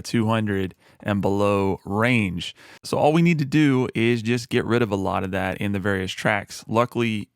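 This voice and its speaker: A man with a low voice, talking through a music mixing tutorial in a relaxed, explanatory way with frequent fillers. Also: dry recording, clean studio sound. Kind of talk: screen-recorded mixing tutorial.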